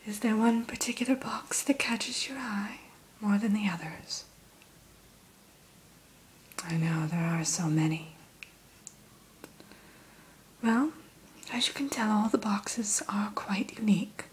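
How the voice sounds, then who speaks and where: A woman in an ASMR roleplay who whispers gently and courteously as the keeper of a dragon hatchery. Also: mid-range voice, animated, slow, long pauses, slight background noise.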